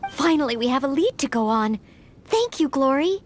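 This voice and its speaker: Young maid knight-hopeful, video game, very high voice, animated, eager, modest, courteous. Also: female voice, normal speed, a little hiss or hum. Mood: polite, helpful. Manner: polite, earnest, self-effacing. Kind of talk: scripted video game voice acting.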